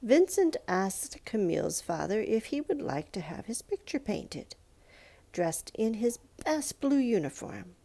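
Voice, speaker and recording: A woman reading a children's picture book aloud in a measured, expressive storytelling manner, with pauses. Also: medium pitch, vocal fry. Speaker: storybook reader.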